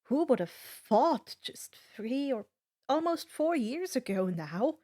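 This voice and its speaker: A woman's voice: high-pitched, sing-song, takes it slowly, mellow. A woman reading a story aloud as a fan fiction narrator.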